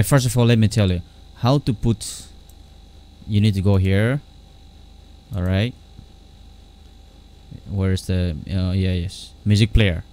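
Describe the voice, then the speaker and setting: A man giving a casual software tutorial in a halting, repetitive way, often checking that the listener follows. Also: sits low. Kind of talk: casual screen-capture tutorial. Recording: faint background noise.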